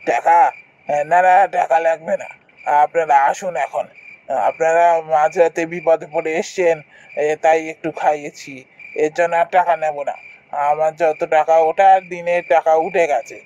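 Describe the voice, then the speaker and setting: A man's voice: a little high, fairly even intonation, moderate pace, darkish tone, muffled recording, lightly acted. A man voicing a ghostly middle-aged food-stall keeper in a horror cartoon, insistent and repetitive, over night ambience. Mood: humble, hospitable. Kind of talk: animated horror story acting.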